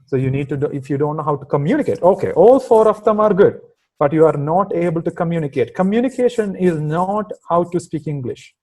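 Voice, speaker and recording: A man's voice: a little high, expressive, fairly quick, a little mellow. A man from India with a mixed Indian and American accent, answering interview questions on a video call with many fillers and emphatic asides. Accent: Indian with some American. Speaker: guest software engineering manager.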